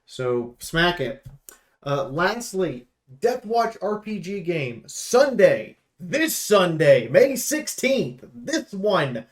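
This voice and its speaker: High-voiced man, emphatic gaming commentator. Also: very animated, takes it slowly. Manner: emphatic, sweary, rambling. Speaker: YouTube host and game reviewer. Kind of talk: casual, opinionated game preview commentary.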